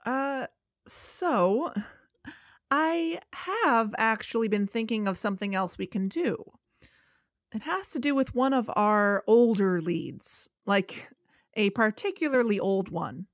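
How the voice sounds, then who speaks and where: A woman's voice: on the high side, expressive, takes it slowly, dry recording, clean studio sound. A woman playing a guarded, earnest podcast investigator in a conversational audio drama. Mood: tentative. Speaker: fictional podcast co-host.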